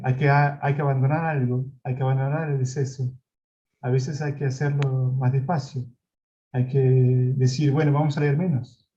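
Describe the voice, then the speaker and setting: A man, a professor being interviewed, talking reflectively and hesitantly, with many pauses and restarts, over a video call. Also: medium pitch, dark tone. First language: Spanish. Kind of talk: reflective academic conversation. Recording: dull lo-fi video call audio.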